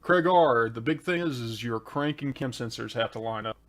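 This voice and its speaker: A man's voice: medium pitch, normal speed. Laid-back man hosting a live stream. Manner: answers viewer questions on engine tuning, laid-back and chatty. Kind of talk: casual live chat. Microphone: desk microphone, adjusted mid-show.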